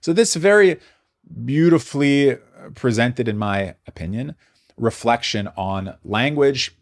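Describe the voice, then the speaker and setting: A man's voice: mid-range voice, expressive, measured pace. A man giving an unscripted philosophy lecture, thinking aloud, searching for words and restating his ideas. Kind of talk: unscripted explanatory lecture with read quotations.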